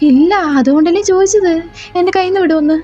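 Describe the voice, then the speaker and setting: A woman voicing a frightened young man held captive, who begs and panics in a high voice. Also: quick pace. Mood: alarmed. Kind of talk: Malayalam fan audio drama. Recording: slightly dull lo-fi recording with faint music.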